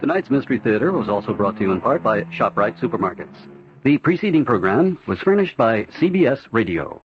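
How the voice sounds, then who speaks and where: A man's voice: medium pitch, very animated, brisk. A man making formal sponsor announcements in the style of 1970s network radio. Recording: muffled 1970s radio broadcast.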